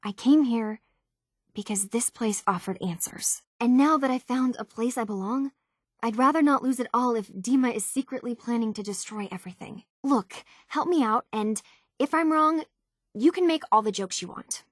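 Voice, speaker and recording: Game role: young female synth tinkerer, voiced by an actress, earnest and halting, raspy-soft texture, very dry studio sound. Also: a little high, no echo, natural voice. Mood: troubled, earnest. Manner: earnest, hesitant, conversational. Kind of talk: video game character dialogue.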